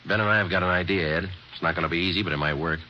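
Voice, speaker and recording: An actor playing a terse male police detective sergeant, speaking in a clipped, deadpan, matter-of-fact way in an old radio drama. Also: deep voice, very fast. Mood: businesslike. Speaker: detective and narrator. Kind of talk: 1940s-50s radio drama acting. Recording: dull lo-fi old radio recording with faint hiss.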